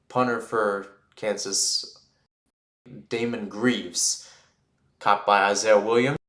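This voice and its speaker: A man giving live radio-style play-by-play commentary on American football, conversational and quick to correct himself. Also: medium pitch, a bit bright, roomy sound. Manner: conversational, self-correcting asides.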